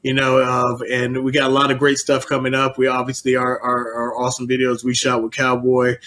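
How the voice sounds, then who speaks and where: Flat-voiced man plugging his work. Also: medium pitch, a little fast. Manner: flat, promotional, stumbling restarts. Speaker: video producer guest. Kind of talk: casual interview chat.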